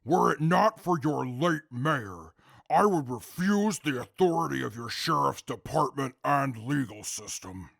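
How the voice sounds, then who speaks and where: An actor playing a calm, articulate male super mutant who testifies in court in a formal, measured way with a gravelly voice. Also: mid-range voice, no echo, studio quality, heavily acted. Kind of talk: audio drama acting.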